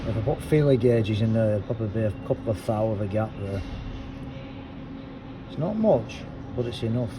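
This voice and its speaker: A middle-aged man, a car mechanic, talking casually while he works through a fault, his voice hoarse and fading, with his phrases trailing off quietly. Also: on the low side, animated, moderate pace. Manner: chatty, thinks aloud, trails off quietly at the end of phrases. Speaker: car mechanic, auto electrician. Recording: noisy workshop with vehicle sounds.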